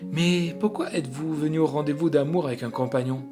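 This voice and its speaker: A man's voice: fairly high pitch, a little fast, close to an everyday voice. Man reading as a reproachful young noblewoman, music behind. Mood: stern, reproachful. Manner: reproachful, formal courtly speech. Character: young chatelaine, reproachful, proud, coquettish noblewoman.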